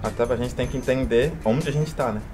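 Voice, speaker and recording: A man's voice: mid-range voice, a little fast, darkish tone, soft background music. A man in his twenties with a São Paulo accent, chatting casually as the host of an interview. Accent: São Paulo Brazilian. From Brazil, São Paulo. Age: 20s.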